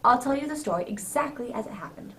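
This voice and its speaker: A woman acts out a genial older English gentleman in a radio drama, avuncular and easily flustered. The voice is high and very animated, full of old-fashioned exclamations. It is picked up from a little distance. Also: quick pace, slight background noise, close to an everyday voice. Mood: storytelling. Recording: distant microphone.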